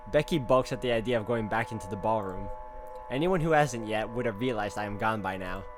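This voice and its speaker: A man with an average-pitched voice hosts a visual novel walkthrough. He moves between reading the text and casual commentary. Music plays clearly behind him. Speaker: gaming video host.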